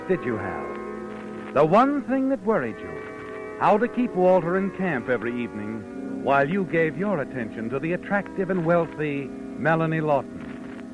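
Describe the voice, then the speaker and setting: An actor playing a knowing, needling storyteller in old-time radio suspense, narrating dramatically and deliberately. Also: male voice, a little high, low-quality recording. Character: knowing, insinuating male storyteller addressing the villain. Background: music.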